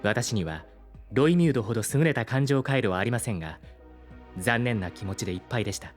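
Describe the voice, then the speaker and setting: A man playing a polite, caring artificial intelligence with formal, measured speech in a Japanese audio drama. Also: mid-range voice, lively intonation, soft background music, close to an everyday voice. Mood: earnest, grateful.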